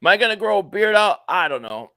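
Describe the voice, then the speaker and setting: A man's voice: high-pitched, sing-song, quick pace, sharp tone, dry recording, clean studio sound. A man in his late forties hosting a casual live stream, rambling and joking as he reads viewer comments aloud. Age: 40s. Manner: rambling, chatty, jokey asides. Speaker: live stream host reacting to a football game.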